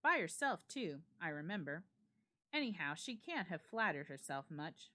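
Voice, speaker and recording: A woman voicing a cheerful young gentleman host in a dramatic storybook reading, chatty and hearty in manner. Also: lowish voice, big pitch swings, moderate pace. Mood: amused, hearty.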